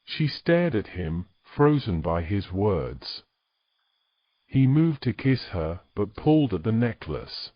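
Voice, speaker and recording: Low-pitched male storyteller, dry sound. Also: sing-song, slow, no room sound, highs cut off. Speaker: story narrator. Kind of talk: scripted dramatic story narration.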